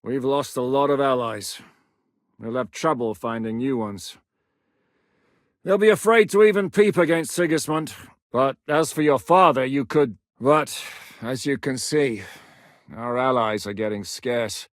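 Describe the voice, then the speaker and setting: An actor playing a battle-hardened warlord commander who gives blunt, commanding orders and swears freely in the fight, recorded in a dry studio. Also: male voice, mid-range voice, unhurried, no room sound, natural voice. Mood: grave. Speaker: military commander character. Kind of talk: video game voice lines.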